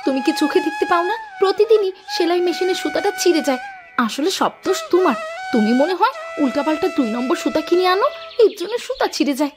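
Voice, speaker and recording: A woman's voice: very high-pitched, lively intonation, fast talker, music in the background. A woman playing a harried, quarrelsome village seamstress wife in a cartoon. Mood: exasperated. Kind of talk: animated cartoon voice acting.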